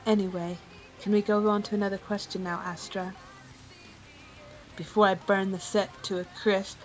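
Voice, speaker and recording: A woman's voice: mid-range voice, level tone, moderate pace, pitched below the performer's own voice, lightly acted, low-quality recording. A stern, composed cat heroine with a short temper, played by a woman. She answers in a controlled, curt manner as a fiery cartoon character in a fan question show. Music runs in the recording. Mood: irritated, restrained. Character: female cat, older, stern, easily irritated, fiery.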